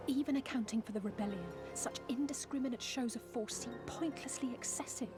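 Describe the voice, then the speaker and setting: An actress playing a sharp-tongued young female adventurer who speaks in a hushed, urgent way. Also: a little high, a little fast, lightly acted. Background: game music.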